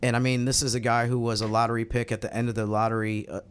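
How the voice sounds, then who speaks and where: A man's voice: medium pitch, level tone, fast, slight background noise. A man hosting a sports podcast, talking through analysis in a conversational way. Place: studio roundtable.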